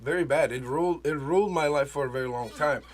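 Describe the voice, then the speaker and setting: A man from the Czech Republic with a fairly high voice speaks as an interview guest. He rambles in a casual, personal way, stopping and starting, circling back over his own words as a native Czech speaker. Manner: rambling, halting, repeats himself, searches for words. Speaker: interview guest, small business owner.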